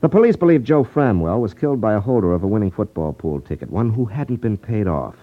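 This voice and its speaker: An unflappable 1950s radio sleuth with a lowish voice. He is wry and matter-of-fact, with lively inflection, on a dull vintage recording. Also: quick pace. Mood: calm, assured. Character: shrewd, unflappable male detective, coolly needling a crook. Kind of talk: 1950s radio drama acting.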